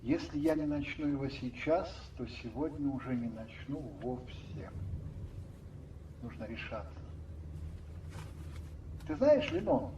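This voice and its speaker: A man's voice: mid-range voice, very animated, a little slow, pauses often, mellow, lo-fi sound, slight background noise. A man playing an affectionate, playful and easily flustered husband in a radio play. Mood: anxious, resolving. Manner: tender, lightly ironic, hesitant when uneasy.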